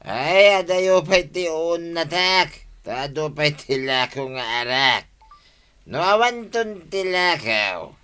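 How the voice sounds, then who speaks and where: Guy doing stubborn whiny drunk village husband, ilocano accent, radio comedy, slow drawl, very sharp clear voice. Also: a little high, animated, full character voice. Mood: whining, stubborn. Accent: Ilocano, northern Philippines. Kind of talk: comedy radio drama acting.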